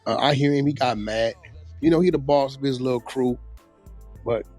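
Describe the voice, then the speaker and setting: A man's voice: mid-range voice, moderate pace, a little mellow. A man chatting casually and bluntly, with emphasis and a lot of slang. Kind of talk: casual opinionated chat about street gossip. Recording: music playing under the voice. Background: music.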